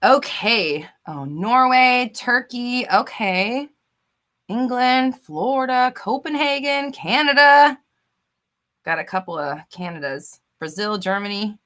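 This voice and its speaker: Host type: upbeat woman, animated pitch, bright and chatty, reads comments live. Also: slow pace. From United States. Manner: bubbly, laughs often, reads out viewer comments. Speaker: livestream host. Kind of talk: casual live chat reading comments.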